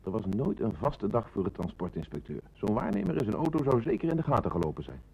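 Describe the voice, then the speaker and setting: A man's voice: on the low side, very animated, fast, creaky, a little mellow, breathy edge, heavily acted, low-quality recording. A man acting a level-headed, respectful police sergeant who doubts things, in a Dutch radio play. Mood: sober, doubting.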